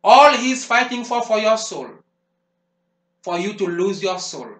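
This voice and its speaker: Fervent preacher. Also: male voice, sits high, lively intonation, unhurried, a bit bright. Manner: emphatic, repetitive preaching cadence. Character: fervent male preacher warning of the devil. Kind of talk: sermon. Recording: roomy echo.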